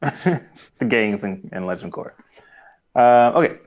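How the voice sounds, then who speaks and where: A male podcast co-host talks casually with a middle-pitched voice. He slips into little acted-out exchanges as he recaps a show. The sound is somewhat dull and lo-fi. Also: animated, measured pace, darkish tone. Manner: acts out imagined dialogue. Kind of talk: casual chat reviewing a cartoon.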